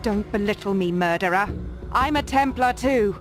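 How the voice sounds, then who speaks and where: A woman's voice: mid-range voice, normal speed, over music, lightly acted. A woman voicing a haughty, defiant Templar captive in a video game, with a taunting manner. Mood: scornful, defiant. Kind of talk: scripted game cutscene acting.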